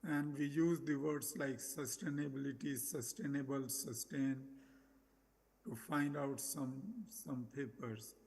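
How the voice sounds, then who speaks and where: A man finishing an academic talk at a conference, halting and repeating his phrases. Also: mid-range voice, steady tone, vocal fry, a little mellow, breathy edge. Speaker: conference speaker. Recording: long hall reverb.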